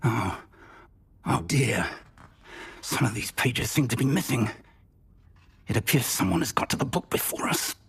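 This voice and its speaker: An actor playing a curious, fretful scholarly professor in a video game, with a husky, expressive voice that muses aloud. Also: male voice, medium pitch, unhurried, pauses often, full character voice. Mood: thoughtful concern. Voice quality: husky, breathy. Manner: expressive, musing to himself. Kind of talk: scripted video game acting.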